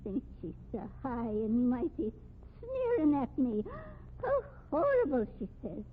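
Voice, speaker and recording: Old street flower seller, 1950s radio: high, slow, wheedling, streetwise, superstitious, on thin old audio. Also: female voice, huge pitch swings, phone-quality sound, heavily acted. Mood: indignant. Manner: uneducated street speech, drawn-out wheedling. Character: quavering superstitious old flower-selling woman, grudging, streetwise. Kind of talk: 1950s radio drama acting. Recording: band-limited old radio recording, faint noise.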